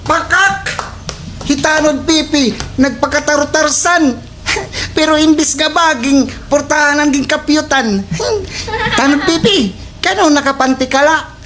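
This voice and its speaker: A woman's voice: sits high, a little slow. A woman playing a mocking, teasing village gossip in a slapstick radio drama. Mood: amused jeering. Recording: noisy recording with clicks.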